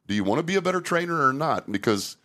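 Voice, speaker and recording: A man's voice: a little high, big pitch swings, fast, pushed and bright. A middle-aged man hosting a casual podcast conversation about safety training, speaking candidly with frequent restarts.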